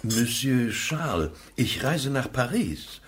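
An actor playing a courteous, businesslike provincial banker in a radio drama, speaking formally. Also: male voice, mid-range voice, sing-song, takes it slowly, background noise. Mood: formal, curious.

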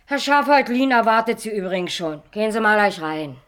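A blunt woman secretary played by an actress in a radio drama, with a medium-pitched voice. She is chatty yet grumpy, speaking in clipped, colloquial phrases with a sardonic, outspoken manner. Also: expressive, fairly quick, fairly bright tone, a little hiss or hum, close to an everyday voice. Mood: grumpy, frank. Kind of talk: 1980s German radio drama acting.

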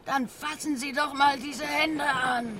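A woman's voice: high voice, fairly even intonation, a little slow, background noise. A woman playing an uneasy, genteel old lady travelling by train in a German radio play. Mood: uneasy, insistent.

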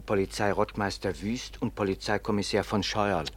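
Actor as plain-spoken, dutiful warden. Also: male voice, on the low side, steady tone, moderate pace, close to an everyday voice. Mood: announcing. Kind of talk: German radio play acting.

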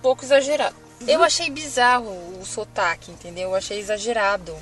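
A woman's voice: fairly high pitch, steady tone, brisk, soft background music. A woman with a Brazilian Portuguese accent, co-hosting a casual film-review podcast and offering her opinions with a questioning tone. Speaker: podcast co-host.

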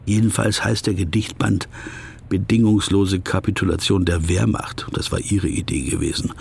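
Low, creaky, brooding businessman. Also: steady tone, moderate pace, a little hiss or hum. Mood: brooding, musing. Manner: musing, pedantic, talking to himself. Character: disgruntled, brooding, word-obsessed middle-aged male business traveller. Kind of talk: introspective German radio drama monologue.

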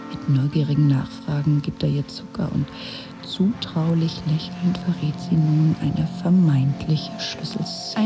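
A woman's voice: fairly low pitch, lightly acted. A woman acting a resentful, sardonic figure who narrates her silent judgements with dry bite in a radio play. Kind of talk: interior monologue in a radio play.

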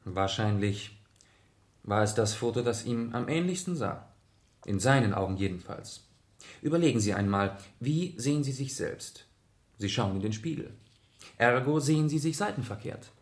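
An actor playing a courteous, inquisitive gentleman detective in a radio play, polite and measured. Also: medium pitch, lively intonation, natural voice. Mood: explaining calmly. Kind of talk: 1970s German radio play acting.

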